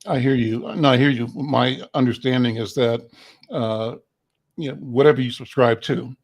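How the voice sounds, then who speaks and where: A middle-aged man hosts the conversation in a voice of average pitch with a gravelly, fry-laden edge. He asks unhurried questions, often pausing on "uh" as he gathers his words. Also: fairly even intonation. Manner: measured, with many "uh" pauses and affirming "mm-hmm"s. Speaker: interview host. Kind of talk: interview, asking questions.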